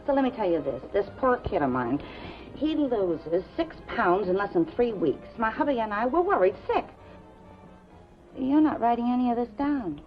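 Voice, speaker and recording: Brassy gabby showgirl mom, swooping pitch, 1950s TV drama, muffled sound, music playing. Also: very animated, normal speed. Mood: chatty, breezy. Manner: gabby, self-absorbed. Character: chatty, brassy showgirl and doting mother. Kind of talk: 1950s American television drama acting. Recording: dull 1950s TV soundtrack, clear music behind.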